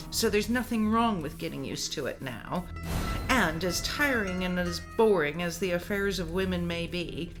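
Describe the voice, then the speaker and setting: A woman's voice: fairly low pitch, close to an everyday voice. A woman acting in a radio play as a stern, resigned, dutiful mother who lectures in formal speech. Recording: music bed under the voice. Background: prominent music.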